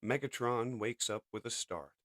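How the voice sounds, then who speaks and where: A man's voice: mid-range voice, moderate pace, a bit bright, no echo, clean studio sound. A man narrating a comic as scripted drama in a theatrical, emphatic way.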